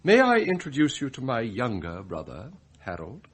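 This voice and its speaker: An actor playing a proud, bitter, plotting butler in a radio drama, with an English accent and formal, theatrical phrasing. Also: male voice, mid-range voice, huge pitch swings, normal speed, breathy edge. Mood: stiff, sardonic. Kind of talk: radio drama acting, monologue and dialogue.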